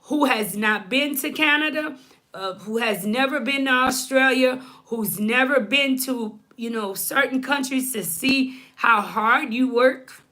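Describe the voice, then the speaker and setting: High bright-voiced woman from Haiti, unhurried. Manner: emphatic, conversational, repeats phrases for emphasis. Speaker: live-stream host. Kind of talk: casual opinion talk to viewers.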